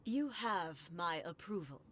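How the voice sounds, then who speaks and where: An actress playing a stern, cold female ruler puppet who speaks slowly, formally and without feeling in a game cutscene. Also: on the low side, lively intonation, a bit bright, slight background noise. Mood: cold, measured. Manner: slow, measured, formal. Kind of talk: video game cutscene voice acting.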